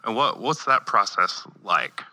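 A man with a low, bright, forceful voice hosts a casual sports interview. He keeps an easygoing tone and fills pauses with quick "yeah"s. The sound is dry and close. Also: animated, dry recording. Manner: easygoing, asks with short affirmations. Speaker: podcast host.

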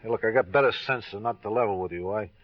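A man's voice: fairly low pitch, fast talker, dry recording, natural voice. An actor playing a chatty, wisecracking middle-aged repairman with folksy patter in an old radio crime drama. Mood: sobered, frank. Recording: dull old radio recording.

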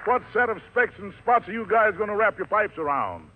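A man's voice: high voice, expressive, a little fast, heavy background noise. An American man hosting a 1940s radio show in showy, wordy jive patter full of rhymes and slang, on a muffled old broadcast recording.

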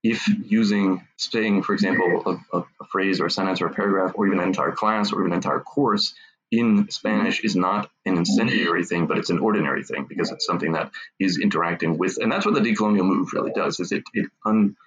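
Halting, husky-voiced man from Massachusetts. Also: low-pitched, fairly bright tone, dull audio. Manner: halting, many restarts and repeated words. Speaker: author and academic. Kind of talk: unscripted interview answer.

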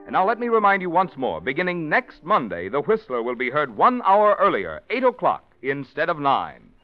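A man's voice: on the high side, huge pitch swings, normal speed, over music. A man announcing and reading sponsor copy on a 1940s radio show. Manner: smooth salesman delivery. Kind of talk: scripted radio commercial. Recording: muffled old radio broadcast.

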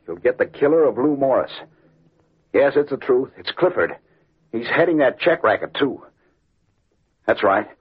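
An actor playing a shifty, scheming racketeer in an old-time radio crime drama, with a guarded, hard-boiled delivery. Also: male voice, mid-range voice, husky. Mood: urgent. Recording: tinny, band-limited vintage radio recording.

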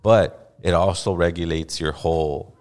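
A man's voice: low voice, fairly even intonation, a little slow, darkish tone, soft background music. A man hosting a casual podcast chat, laid-back and loose, calling his guest bro and swearing freely. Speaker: podcast host. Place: podcast studio in Los Angeles.

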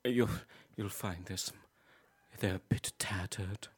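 An imposing, tattered night raven, played by a man, speaks low and very slowly. The delivery is solemn, cagey and deadpan, with halting phrases, as a comedy podcast creature. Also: slightly rough. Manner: slow, halting, deadpan self-introduction. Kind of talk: improvised comedy podcast character interview.

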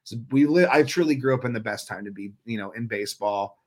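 Man, 30s, rambling, swearing a lot, mid pitch. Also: quick pace, darkish tone. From Northern California. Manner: rambling, profane, riled-up. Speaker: podcast guest, musician. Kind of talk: casual chat.

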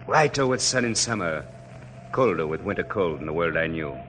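An idealistic young French officer with a low, forceful voice. He speaks with sweeping, impassioned oratory, in an old radio drama with faint noise. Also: lively intonation, moderate pace. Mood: earnest. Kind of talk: classic radio drama acting. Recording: noisy old radio recording.

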